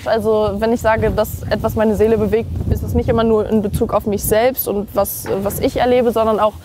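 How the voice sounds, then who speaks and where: A young woman singer from Germany chatting casually in an outdoor interview, relaxed and laughing easily, slipping English words into her talk. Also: on the high side, a little fast, noisy background. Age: young adult. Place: outdoors on steps by a river.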